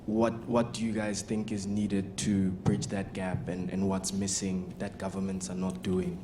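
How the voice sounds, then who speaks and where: A man from South Africa stands up to ask the panel a question in a very deep, flat voice. He hesitates and searches for his words as he goes. Noise hums along behind him. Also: unhurried. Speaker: audience member. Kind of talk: asking a question.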